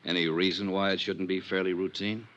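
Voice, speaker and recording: A big, capable police lieutenant in a 1950s radio detective play. He is American, with a very deep voice and plain, probing talk. Faint vintage hiss sits behind him. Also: level tone, brisk, a bit bright, muffled recording. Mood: probing, matter-of-fact. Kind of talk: 1950s radio drama acting.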